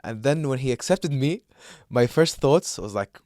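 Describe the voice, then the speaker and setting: A man's voice: medium pitch, animated, normal speed, dark tone, dry recording, studio quality. A young man from Saudi Arabia co-hosting a podcast, chatting casually and telling stories in a hesitant, rambling way. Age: young adult. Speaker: podcast co-host. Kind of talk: casual chat, telling personal anecdotes.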